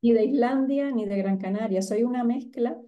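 A middle-aged woman with a softened Cuban accent, speaking reflectively as a guest in an interview over a video call. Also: fairly high pitch, moderate pace, lo-fi sound. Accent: Cuban, softened by years abroad. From Cuba. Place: indoor room at home, on a video call.

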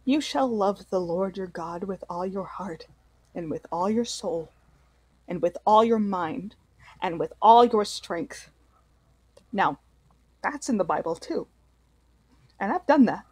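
Woman acting devout, slow-spoken mother. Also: mid-range voice, animated, darkish tone, slightly rough, dry recording. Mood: solemn, earnest. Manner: halting, earnest, deliberate. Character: devout, loving, remorseful mother of a grown son. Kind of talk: radio play acting.

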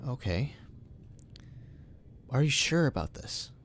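A man acting in an audio drama as a commanding politician-tycoon, measured, polite and dryly cool. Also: mid-range voice, sing-song, slight background noise. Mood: cool, courteous. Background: music.

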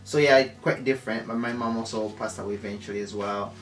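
A man in his thirties with a Ugandan accent talks casually from a couch in a living room. He speaks in a halting way, full of fillers and restarts. The room sounds echoey, with faint music underneath. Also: on the low side, fairly even intonation. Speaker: co-host, husband. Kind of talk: casual chat.

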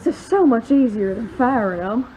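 A woman's voice: on the high side, animated, normal speed, background noise, close to an everyday voice. A woman playing a callous, dismissive outlaw gang boss in a western audio drama. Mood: callous amusement. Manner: menacing, dismissive. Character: cold, callous male outlaw gang boss, former sheriff.

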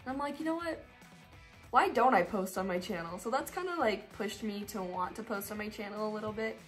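A woman's voice: medium pitch, a little fast, a bit bright, faint music behind. A teenage girl from Colorado answering her viewers' questions in a casual, upbeat, rambling way, with plenty of fillers. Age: teens. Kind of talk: casual Q&A vlog.

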